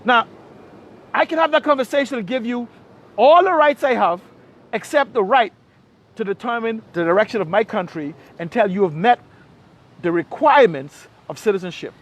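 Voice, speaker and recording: A man's voice: high-pitched, moderate pace, fairly bright tone. A Bahamian man delivering an impassioned political monologue, speaking emphatically with pointed rhetorical questions and repetition. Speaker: political commentator.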